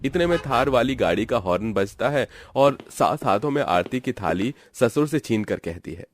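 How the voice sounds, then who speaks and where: A man narrating a scripted animated family story. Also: medium pitch, moderate pace, dry recording.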